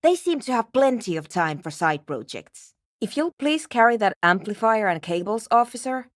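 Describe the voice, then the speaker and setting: Curt, animated game programmer. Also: female voice, on the high side, normal speed, dry recording, studio quality. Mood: businesslike, impatient. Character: driven, curt woman programmer, intellectual and absorbed in research. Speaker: video game voice actor. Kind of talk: scripted game character dialogue.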